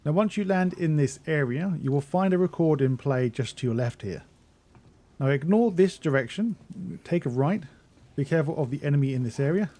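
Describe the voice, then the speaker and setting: A man's voice: on the high side, lively intonation, a little fast, mellow. A man giving a casual video game walkthrough as a guide narrator.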